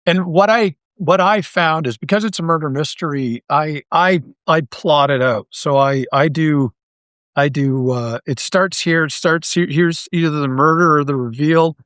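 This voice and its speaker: A man from Canada chatting casually as an interview guest, an animated storyteller who rambles and repeats his phrases. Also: on the high side, measured pace, dry recording. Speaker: interview guest, audio drama writer.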